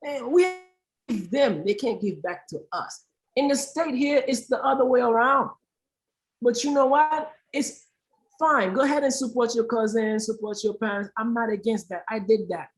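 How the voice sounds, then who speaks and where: A woman's voice: on the high side, normal speed, low-quality recording. A woman from Haiti speaking as a panel guest, impassioned and emphatic, repeating phrases for stress. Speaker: aid organization founder, panel guest.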